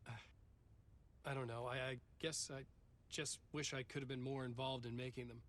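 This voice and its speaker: A man plays an uneasy novelist, a reluctant guest in a video game talk show. He is guarded and halting, in a mid-range voice with slight background noise. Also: medium pitch, flat delivery, fairly bright tone, close to an everyday voice. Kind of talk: talk show interview answers.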